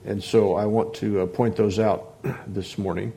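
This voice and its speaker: A man leading a Bible class into a microphone, talking hesitantly with many restarts and fillers and clearing his throat often. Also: fairly low pitch, fairly even intonation, unhurried, dark tone. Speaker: Bible teacher. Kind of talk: informal Bible class talk. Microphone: microphone on a sound system.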